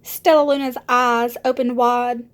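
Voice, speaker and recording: A woman's voice: a little high, level tone, measured pace. A woman reading a children's storybook aloud as scripted narration. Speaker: storybook reader.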